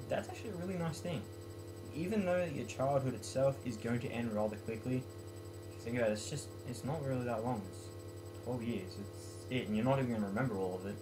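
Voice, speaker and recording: Young man, casual and low-key. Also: poor audio. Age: late teens to young adult. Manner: self-mocking, jokey. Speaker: student vlogger. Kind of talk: casual talk and poem reading. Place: small bedroom, indoors.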